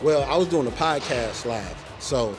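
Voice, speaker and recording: A laid-back man hosts a casual chat, joking around and speaking in loose slang. Music plays clearly behind his voice. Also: medium pitch, lively intonation, moderate pace, poor audio. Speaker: vlog host.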